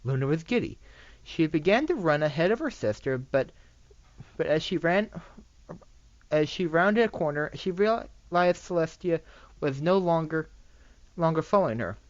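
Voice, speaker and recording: A lively amateur storyteller with a lisp reads aloud in a swinging pitch. The delivery is halting, with misread words quickly fixed. It is recorded dry and close on a slightly dull microphone. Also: dry recording. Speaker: amateur reader of fan fiction. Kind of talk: reading a story aloud, with stumbles and asides.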